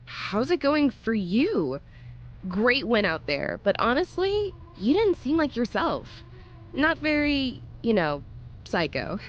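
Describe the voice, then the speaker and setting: A chatty young woman wrestler in a video game scene, with a highish, very animated voice. She sounds conversational and persuasive, like a friend with a scheme. The audio is a little dull. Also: takes it slowly, exaggerated voice. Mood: concerned. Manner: expressive, conversational. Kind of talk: casual game cutscene acting.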